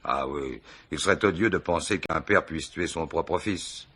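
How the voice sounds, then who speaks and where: Deep, forceful, wily police commissioner. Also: male voice, measured pace, husky, exaggerated voice. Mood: smooth, bluffing. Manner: colloquial, teasing, self-assured. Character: wily, jovial, bluffing male police commissioner. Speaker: actor in a radio mystery play. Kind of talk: French radio mystery drama acting.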